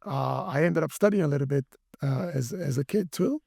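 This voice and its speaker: Brazilian guy, middle-aged, really gravelly low-key dark voice, stops and restarts a lot. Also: dry recording, clean studio sound. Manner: halting, many restarts and fillers. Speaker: guest. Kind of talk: interview conversation.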